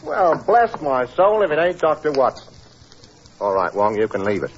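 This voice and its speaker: Keen bobby in old radio play, very animated, bit muffled. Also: male voice, medium pitch, moderate pace. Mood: eager, deferential. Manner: chatty, respectful. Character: eager, deferential working-class British police constable. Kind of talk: 1940s radio acting. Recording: dull, faintly noisy 1940s radio recording.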